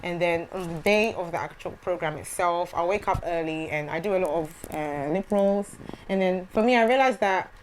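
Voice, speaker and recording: A young woman, a gospel singer, answering interview questions in a soft, hesitant way with many fillers. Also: on the low side, normal speed, a little hiss or hum.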